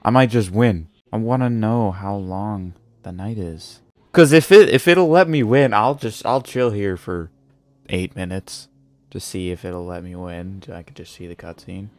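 A man's voice: on the low side, measured pace, darkish tone, dry recording. A young man giving casual, offhand live gaming commentary, muttering to himself and swearing. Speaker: game streamer.

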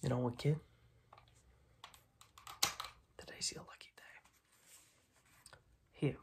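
A young man voicing a gruff, dismissive, streetwise mob boss in a soft-spoken ASMR roleplay, breathy and clipped. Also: mid-range voice, steady tone. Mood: sly, amused. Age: young adult. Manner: slow, clipped tough-guy talk with repeated phrases. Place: small dim room at home, indoors.

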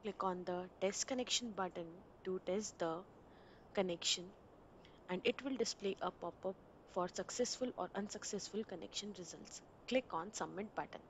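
A woman reading a screen-recording software walkthrough in a steady instructional way, with small grammar slips. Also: mid-range voice, moderate pace, slight background noise. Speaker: software tutorial narrator.

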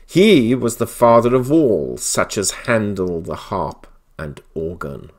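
A man, a pastor, giving a solemn Bible reading in deliberate, dramatic phrases with pauses. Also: lowish voice, lively intonation, darkish tone.

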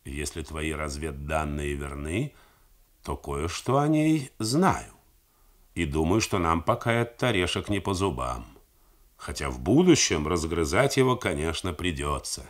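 A man voicing a calm, fatherly older army commander who speaks deliberately. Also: extremely low pitch, voice pushed down, heavily acted.

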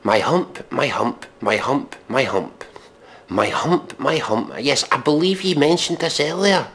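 A man giving a mock dramatic reading in deadpan, with exasperated, scornful, sweary commentary. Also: medium pitch, unhurried. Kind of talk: deadpan recitation of pop lyrics with sweary commentary.

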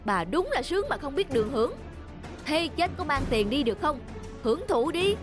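A man voicing a brash, spendthrift younger brother in an animated cartoon, middle-aged, scoffing and whiny. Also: very high voice, fairly quick, over music. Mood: irritated.

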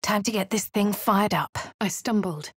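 An actress with a posh English accent playing a composed adventurer in a game, speaking in a dry, measured, lightly sardonic way. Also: female voice, medium pitch, fairly bright tone, husky, no room sound. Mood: wry. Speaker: player character. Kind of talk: game voice lines. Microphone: studio mic.

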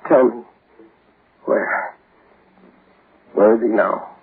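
An actor playing a vengeful, desperate escaped convict in an old-time radio thriller, intense, halting and menacing. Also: male voice, on the low side, steady tone. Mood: haunted, halting. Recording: noisy, tinny old radio recording.

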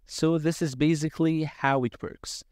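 Man narrating a software tutorial, dry sound. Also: a little high, fairly even intonation, moderate pace, clean tone, no echo. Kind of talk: step-by-step screen-share tutorial narration, semi-improvised.

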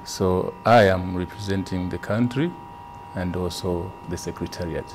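A middle-aged man from Zambia, a government trade official, answering interview questions in a measured way with frequent hesitations. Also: deep voice, darkish tone, a little hiss or hum. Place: conference room indoors.

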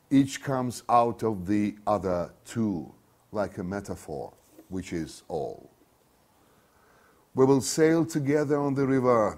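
A man in his fifties giving a dramatic, declamatory reading of literary prose, with deliberate pauses, as an author reads his novel. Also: mid-range voice, darkish tone. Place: small bare studio room, seated at a desk.

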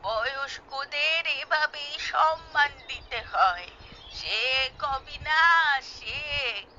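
A woman playing a sharp-tongued, disdainful old village mother in a comic cartoon, nagging in drawn-out scolds. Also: sits high, lively intonation, slight background noise, full character voice. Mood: scolding. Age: older. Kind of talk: Bengali comic cartoon acting. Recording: dull lo-fi track, music behind.

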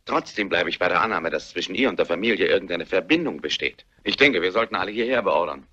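A man acting in a German radio play as a methodical, authoritative police inspector with a measured, official manner. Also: low voice, animated, bright, forceful tone, dull audio, full character voice. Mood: businesslike.

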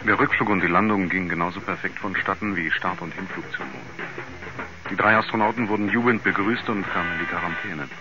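Actor as low-voiced, sober man investigator. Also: quick pace, over music, close to an everyday voice. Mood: sober, reflective. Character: sober, inquisitive man investigating a friend's disappearance. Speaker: first-person narrator and investigator. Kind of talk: 1970s German radio drama narration. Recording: muffled 1970s radio play recording.

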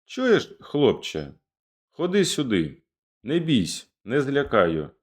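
A man's voice: on the low side, sing-song, slow, heavily acted. A man voicing a fierce Cossack rebel chief. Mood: commanding. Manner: rousing, declamatory. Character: fierce male Cossack rebel leader, commanding.

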